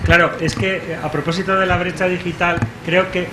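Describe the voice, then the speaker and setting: A man's voice: high-pitched, little pitch movement, brisk, sharp tone. Apologetic man in a noisy hall. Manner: apologetic, interjecting. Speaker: audience member. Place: conference hall. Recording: clicks and clear noise behind.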